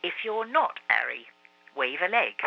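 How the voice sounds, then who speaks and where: Guy reading doing a high eager kid hero, earnest and jumpy, muffled lo-fi sound. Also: a little slow, creaky, full character voice. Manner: eager, pleading, high and lively. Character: young boy hero, earnest and anxious. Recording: dull lo-fi radio recording.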